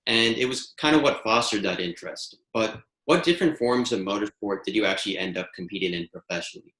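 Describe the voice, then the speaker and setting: A man's voice: on the low side, quick pace, fairly bright tone, echoey room, poor audio. A man hosting a talk show, reading a prepared intro and asking questions in a methodical way. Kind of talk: scripted intro and interview questions.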